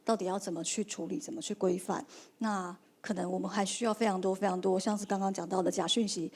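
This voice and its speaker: A woman speaking on a panel, measured, with many fillers. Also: mid-range voice, steady tone, a little mellow, husky. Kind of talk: panel talk.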